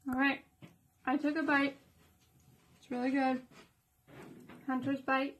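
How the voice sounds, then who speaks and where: Chatty young mom vlogging at home. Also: female voice, sits high, level tone, takes it slowly, fairly bright tone. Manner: chatty, upbeat, talks to her toddlers and the camera. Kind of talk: casual home vlog. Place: small home kitchen and playroom, indoors. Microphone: camera on a tripod. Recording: noisy home video.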